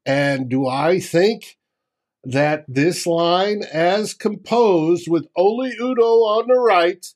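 Higher-voiced man co-hosting a podcast. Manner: wordy, reads sponsor plugs. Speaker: podcast co-host. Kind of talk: casual chat.

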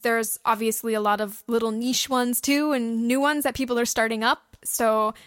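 A woman's voice: a little high, steady tone, normal speed, fairly bright tone, dry recording, clean studio sound. A woman co-hosting a podcast in casual, informal chat, giving enthusiastic recommendations. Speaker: podcast co-host.

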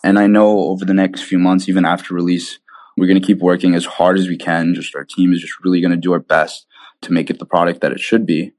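Low-voiced man in a casual interview. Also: little pitch movement, fast, darkish tone. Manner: earnest, informal, peppered with "you know". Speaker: guest, app developer.

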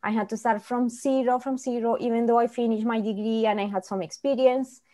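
A woman from Colombia with a Colombian Spanish accent, telling a personal story as a guest in earnest, halting English phrasing. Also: fairly high pitch, steady tone, dark tone. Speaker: guest speaker, business owner. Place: video call from a computer.

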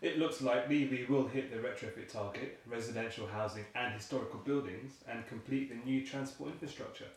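An actor plays an earnest, practical-minded engineer in a radio play. His mid-pitched voice is naturalistic. It rings in a strongly echoing room with faint noise. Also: a little fast, hardly any pauses, natural voice. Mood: satisfied. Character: earnest, pragmatic older-generation agency engineer. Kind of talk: naturalistic audio play acting.

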